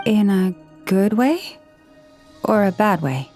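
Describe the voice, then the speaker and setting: An earnest young woman leader in a video game. Her mid-pitched voice stays quiet and measured, with a solemn weight to each line. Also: big pitch swings, faint music behind. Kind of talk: video game dub acting.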